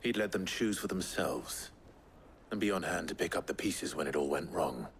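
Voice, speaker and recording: Game hero role: outlaw leader, very deep male voice, calm, brooding, measured and understated. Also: slight background noise. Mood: grave. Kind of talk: dramatic game cutscene acting.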